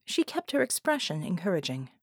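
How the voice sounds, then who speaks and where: A woman's voice: fairly low pitch, very animated, fairly quick, breathy edge, no room sound. A woman reading scripted fiction narration as an audiobook narrator, recorded close.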